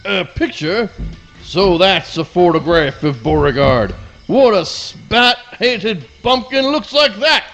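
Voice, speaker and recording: A man's voice: high voice, expressive, takes it slowly, a bit bright, soft background music, heavily acted. A man playing a pompous, melodramatic, devoted hound dog in a comic radio play, declaiming in florid theatrical style. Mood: pompous, puzzled.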